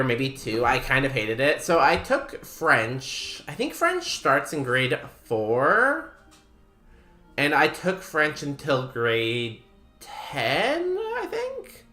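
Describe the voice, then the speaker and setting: A man's voice: mid-range voice, pushed and bright. Young canadian guy rambling on stream, lots of ums, echoey room w faint music. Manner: rambling, self-deprecating, lots of ums and likes. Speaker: streamer playing a video game. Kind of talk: casual chat with a live stream audience. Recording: roomy echo with faint music behind.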